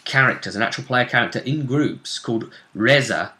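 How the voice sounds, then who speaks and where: Bright-voiced man announcing tabletop game matchups. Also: medium pitch, lively intonation, fairly quick, roomy sound. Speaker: game master. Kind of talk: casual tabletop game narration.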